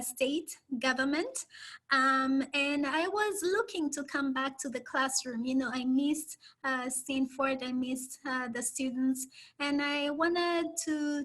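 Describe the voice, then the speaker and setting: A woman with a Kenyan accent speaks as a guest professor on a video call. She tells her story at a measured pace with frequent "um"s. Her voice is high and bright. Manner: warm, measured storytelling with many "um"s. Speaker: public health professor, guest. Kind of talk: interview answers on a video call.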